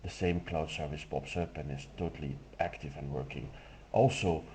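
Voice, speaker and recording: A man whose first language is Dutch, explaining a software demo step by step in a relaxed way with some fillers. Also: very deep voice, normal speed, a little hiss or hum. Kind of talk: screen-recorded software walkthrough.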